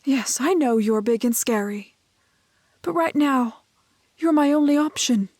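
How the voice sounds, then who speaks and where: Young village maiden for romantic fantasy roleplay, acted by a woman: soft, highish, dry close sound, gentle and curious, quaintly courteous with hesitant pauses. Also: expressive, no room sound. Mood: indignant. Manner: old-fashioned polite phrasing, halting, breathy hesitations. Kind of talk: romantic fantasy audio roleplay spoken to the listener.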